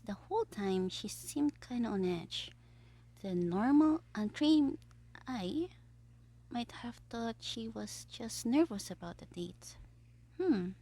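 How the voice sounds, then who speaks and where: A woman's voice: a little high, warm tone, full character voice. A woman playing a smug, theatrical young supervillain who schemes playfully in an audio roleplay diary. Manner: theatrical, playful, self-satisfied, drifting into conspiratorial whispers.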